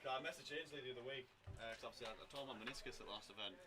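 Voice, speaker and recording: A man with a Northern English accent, co-commentating a live match with fast, joking banter. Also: medium pitch. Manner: fast, joking, self-deprecating. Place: commentary booth at an outdoor paintball field. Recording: very loud crowd and field noise behind.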